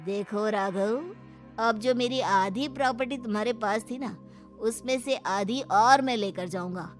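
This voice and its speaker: A woman's voice: on the high side, measured pace, faint music behind, close to an everyday voice. A woman voicing a shrewd, bossy older father of a bride, taunting and threatening, in a cartoon family story. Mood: smug, stern.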